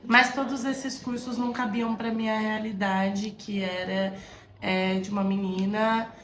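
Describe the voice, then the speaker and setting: A woman who teaches at a public school, speaking in a measured, reflective way in a documentary interview. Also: medium pitch, little pitch movement, fairly bright tone, slight background noise. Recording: roomy echo with music underneath.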